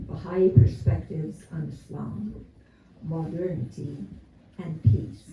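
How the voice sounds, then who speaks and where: A man in his seventies, from the United States, reading a prepared introduction of a speaker with dry humor at a community gathering. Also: sits high. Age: 70s. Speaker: event host introducing a speaker. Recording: roomy, distant mic with faint noise.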